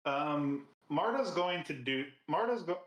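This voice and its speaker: A man's voice: a little high, expressive, measured pace. A man playing a tabletop roleplaying game, talking casually as he describes his character's actions. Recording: roomy, echoing sound.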